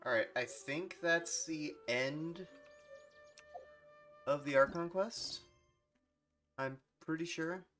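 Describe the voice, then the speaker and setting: A man's voice: fairly high pitch, takes it slowly, long pauses, sharp tone. A man giving casual, rambling livestream commentary as he explains the video games he plays. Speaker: video game streamer. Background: game music.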